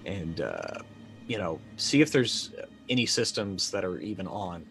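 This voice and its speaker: A man in his forties with a fairly low voice plays a tabletop game. He describes his character's actions casually, pausing to think aloud. Faint music plays behind him. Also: expressive, gravelly, a bit bright.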